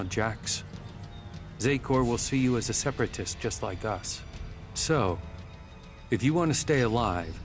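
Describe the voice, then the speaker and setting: A proud, distrustful rebel soldier in a video game cutscene. The voice sits fairly low and moves in measured, formal phrases. Music plays clearly behind it. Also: male voice. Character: wary rebel soldiers of a cold, proud army.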